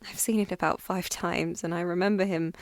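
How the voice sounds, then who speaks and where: A woman playing a frightened, weary young plane-crash survivor in an audio drama monologue, confiding in a halting, plain-spoken, wryly understated way. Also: lowish voice, steady tone, dry recording, lightly acted. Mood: weary, practical. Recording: clearly noisy background.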